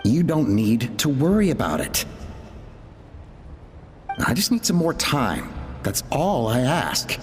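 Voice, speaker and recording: An actor playing an earnest, burdened young son in a video game, speaking in a halting, pleading manner. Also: male voice, mid-range voice, big pitch swings, faint music behind. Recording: long echoing reverb, background noise.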